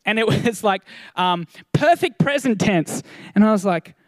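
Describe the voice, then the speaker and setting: A man with a high voice preaches to his church in an earnest, encouraging way. He often doubles his first words as a thought begins. The recording is dry and close. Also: lively intonation, a little slow, no room sound. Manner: earnest, encouraging, repeats words when starting sentences. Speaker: pastor. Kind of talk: sermon.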